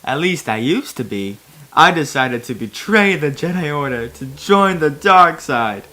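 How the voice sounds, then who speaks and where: A woman's voice: low-pitched, lively intonation, unhurried, bright, forceful tone, full character voice. A woman voicing a small old male alien master turned villain in a comic story, smug, scornful and short-tempered. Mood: smug, scheming. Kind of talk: comic fan fiction narration in character.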